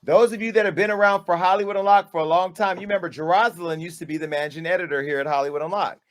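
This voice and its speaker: A man hosting his own show, delivering a dramatic callout monologue with sass, swinging between hushed asides and loud, punchy bursts. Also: high-pitched, steady tone, rapid-fire. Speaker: media company owner and host.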